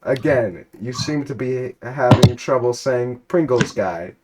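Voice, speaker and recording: A man giving a comic dramatic reading of a story, in a deadpan manner, sometimes stumbling and rereading lines. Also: medium pitch, unhurried, very noisy. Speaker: reader.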